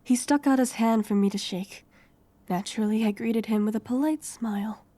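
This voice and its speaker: A young woman playing a wary, homeless heroine in her twenties, telling her story softly and with measure in an audio drama. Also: on the high side, normal speed, close to an everyday voice. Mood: reflective. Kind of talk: first-person audio drama narration and dialogue.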